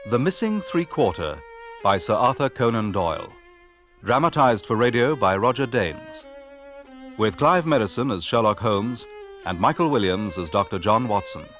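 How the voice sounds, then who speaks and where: Animated male radio announcer over violin. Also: lowish voice, moderate pace. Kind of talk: scripted radio drama announcement. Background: violin music.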